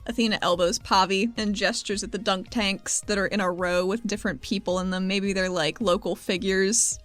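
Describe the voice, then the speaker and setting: A woman's voice: mid-range voice, fairly even intonation, normal speed, fairly bright tone, soft background music. A woman playing in a tabletop role-playing game, chatting casually as she describes what her character does.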